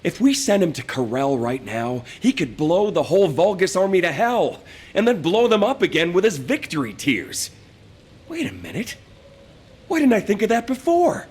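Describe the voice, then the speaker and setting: A jokey scheming game guy, husky voice, bounces all over. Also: sits high, sing-song, normal speed, a little mellow, heavily acted. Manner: joking, scheming. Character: scheming wisecracking young male fighter. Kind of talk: video game cutscene voice acting.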